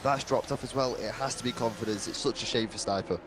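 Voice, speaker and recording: A man giving colour commentary on a sim race, explaining calmly while his throat is dry. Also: mid-range voice, over music. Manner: analytical, measured explanations. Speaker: co-commentator and driver.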